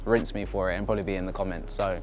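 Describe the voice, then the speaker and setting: Man, lowish voice, thoughtful rambling reflections, faint music under. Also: steady tone, very fast. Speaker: company staff. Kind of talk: casual reflective talk to camera.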